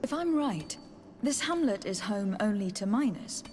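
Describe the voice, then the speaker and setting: A woman's voice: medium pitch, expressive, moderate pace, darkish tone, natural voice. An actress playing a composed, inquisitive noblewoman envoy in a fantasy game, speaking formally and earnestly. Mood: inquiring. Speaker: voice actor in a fantasy video game. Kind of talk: game dialogue.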